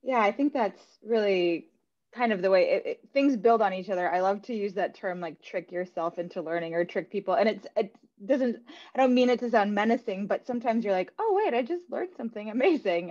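A woman's voice: medium pitch, expressive, brisk, a little mellow, dry recording. A woman, telling her own story casually as a panelist on a video call. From born in Saint Petersburg, Russia, moved to America. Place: small room at home. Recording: slightly dull, dry video-call audio.

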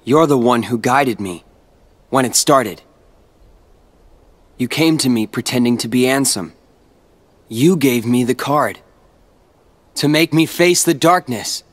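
An actor playing an earnest, brooding teenage boy hero in a video game cutscene, speaking haltingly. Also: medium pitch. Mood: resolute, accusing.

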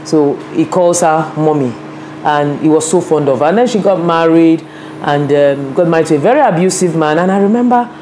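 A woman's voice: low voice, moderate pace, warm tone, a little hiss or hum. A Nigerian woman in her fifties, recorded in her office by a camera across the desk, earnestly telling her life story with many "you know" asides. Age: 50s. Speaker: interviewee, women's rights advocate. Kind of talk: reflective personal storytelling. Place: office with a large window, seated at a desk.

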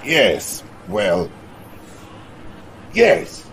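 An actor playing a jovial, pompous middle-aged Soviet premier with a Russian accent and theatrical pauses in a video game. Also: male voice, lowish voice, wildly swooping pitch, fairly bright tone, slight background noise, heavily acted. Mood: flustered. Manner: theatrical, dramatic swings and pauses.

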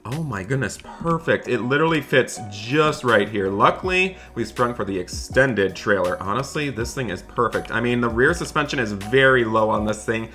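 An enthusiastic man with a bright, forward voice plays a friendly dad in a video game roleplay. He chats along excitedly, with music behind him. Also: mid-range voice, normal speed, few pauses. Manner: enthusiastic, chatty, exclamatory. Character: excited friendly dad shopping for project cars. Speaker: host of a gaming roleplay channel. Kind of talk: improvised roleplay narration over gameplay.

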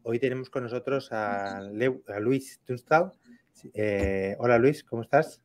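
Middle aged guy w/ hosting a podcast, normal pitch, video call chat. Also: unhurried. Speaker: podcast host. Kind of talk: conversational interviewing.

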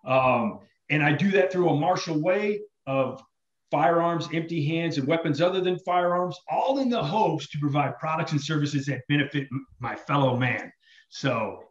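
Casting: male podcast host, forties, informal delivery, fairly high voice, very roomy lo-fi sound. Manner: informal, slangy. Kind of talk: casual podcast introduction and questions. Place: indoor room with backdrop. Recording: echoey, lo-fi room sound.

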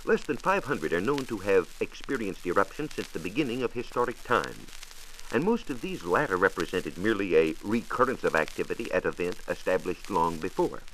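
A man's voice: deep voice, sing-song, a little fast, fairly bright tone. An American man, a science reporter narrating a scripted 1940s radio programme in a formal, precise, emphatic way. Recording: faintly noisy 1940s radio transcription disc.

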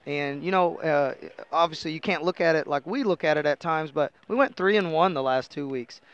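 A man's voice: a little high, brisk, a bit bright, clean tone, dull audio. A middle-aged American man, a basketball coach explaining things conversationally in a postgame interview on a headset mic. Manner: conversational, explanatory, frequent "you know". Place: indoor interview table at a sports arena.

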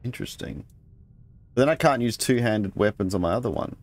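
A man's voice: fairly low pitch, animated, a little fast. A man hosting a let's play, casually thinking aloud and reading game text as he goes. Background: game music.

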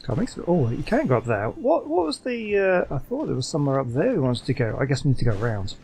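A man giving casual gameplay commentary, thinking out loud and questioning himself as he goes. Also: mid-range voice, huge pitch swings, fast talker, vocal fry, a little hiss or hum. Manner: muses aloud, questioning himself. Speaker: gaming video host. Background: faint game music.

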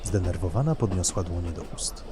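A male narrator tells a literary story in a fairly low voice. The reading is scripted, part of an audio drama, with clear travel noise underneath. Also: lively intonation, fairly quick.

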